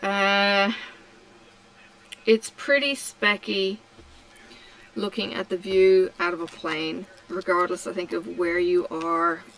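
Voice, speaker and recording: A middle-aged woman from Tasmania chatting casually while she crafts, answering questions slowly with many ums and pauses. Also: mid-range voice, steady tone, a bit bright, low-quality recording. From Australia (Tasmania). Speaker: craft vlogger. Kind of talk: relaxed chat while crafting.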